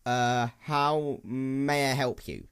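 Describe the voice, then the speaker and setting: A man's voice: mid-range voice, takes it slowly, smooth. A man voicing a nervous young male pony ticket clerk in a story, hesitant and stammering.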